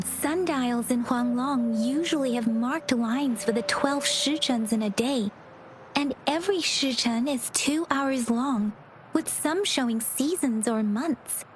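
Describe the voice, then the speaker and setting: A woman playing a gentle, studious young scholar in a video game, polite and measured as she explains. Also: sits high. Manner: measured, soft-spoken, explanatory. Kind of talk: English video game voice acting.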